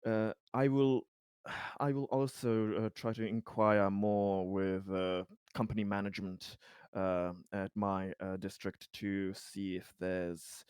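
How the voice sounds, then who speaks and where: A man acts out a courteous sci-fi colony official with a lowish voice. He stammers and gropes for words, earnest and deferential throughout the tabletop game. The sound is close and dry. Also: a little mellow, clear, resonant tone, no room sound. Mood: helpful, earnest. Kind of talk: improvised in-character tabletop roleplay.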